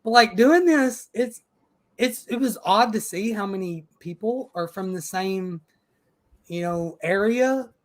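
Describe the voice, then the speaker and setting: A man's voice: sits high, measured pace. A man hosting a casual podcast and reading out live chat, emphatic and profane, with frequent restarts.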